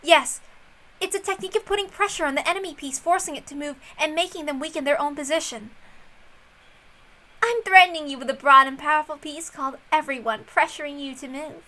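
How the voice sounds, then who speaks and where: A woman's voice: high voice, a little fast, sharp tone, voice pushed up. A woman voicing a smug, scheming young female detective in a visual novel, taunting and confident.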